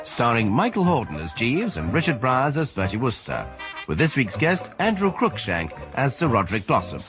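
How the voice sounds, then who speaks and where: A man's voice: mid-range voice, huge pitch swings, normal speed. A man with a British accent reading scripted broadcast credits as a radio announcer. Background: music.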